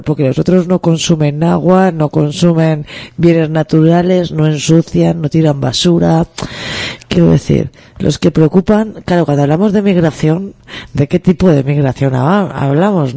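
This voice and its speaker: A man's voice: on the high side, creaky, warm tone, no room sound. A man co-hosting a radio talk show, giving indignant, ironic commentary in a casual discussion. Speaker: radio co-host.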